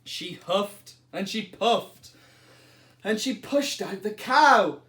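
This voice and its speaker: A man's voice: high-pitched, slow. A man reading a children's story aloud expressively, speaking to young listeners like a teacher. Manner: animated, addressing young listeners.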